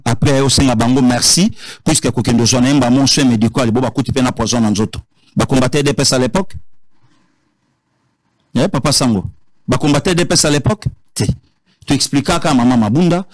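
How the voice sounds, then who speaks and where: A man with a Congolese accent in an animated political discussion, emphatic, repeating phrases and switching between languages. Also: medium pitch.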